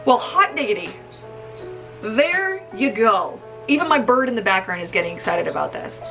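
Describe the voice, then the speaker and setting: A woman in her thirties telling a personal story casually to camera in an animated, emphatic way, recorded in a room at home. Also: a little high, normal speed, music in the background. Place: small indoor room at home.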